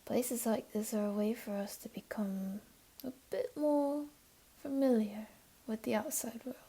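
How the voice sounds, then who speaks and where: A woman voicing a flirty, teasing, comforting jaguar beastling in an intimate ASMR roleplay, speaking softly and coaxingly in a half-whisper. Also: a little high, fairly even intonation, measured pace, slightly rough. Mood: patient, inviting.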